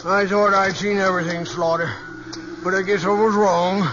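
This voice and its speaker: An actor playing a nosy, shrewd old cowhand in a 1950s radio western, with a folksy American Western drawl. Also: male voice, high voice, little pitch movement, moderate pace, noisy background, close to an everyday voice. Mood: folksy, curious. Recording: muffled vintage radio, loud music bed.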